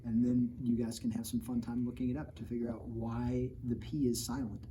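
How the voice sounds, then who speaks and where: Playful man reading to kids, mellow voice. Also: mid-range voice, little pitch movement, normal speed, slight background noise, poor audio. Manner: playful, jokey, mock-outraged, talking straight to children. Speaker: children's author reading his own book. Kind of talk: storytime read-aloud with playful asides to kids.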